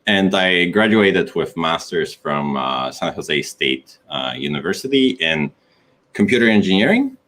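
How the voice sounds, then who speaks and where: Young man from Poland, very low voice, slow and hesitant, intern intro. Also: animated, a bit bright. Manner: hesitant, many fillers. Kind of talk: casual self-introduction.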